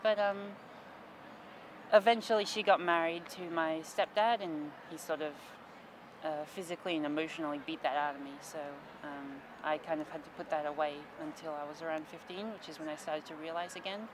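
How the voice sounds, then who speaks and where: A twenty-year-old woman telling her life story in an interview, soft and hesitant with many ums. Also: low voice, steady tone, brisk, background noise.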